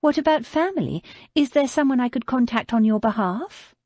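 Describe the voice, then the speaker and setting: A woman voicing a polite, anxious young woman of genteel manner, speaking softly and hesitantly. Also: fairly high pitch, expressive, normal speed, no echo, pitched above the performer's own voice. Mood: earnest.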